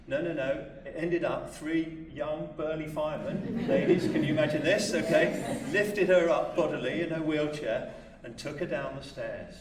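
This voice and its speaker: A man's voice: on the high side, normal speed, noisy background. A man with an English accent telling humorous stories about caring for his wife to an audience at an event. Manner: humorous anecdotal storyteller. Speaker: carer speaking at a carers' event. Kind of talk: storytelling speech to an audience. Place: event hall. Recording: echoey hall, voice from a distance.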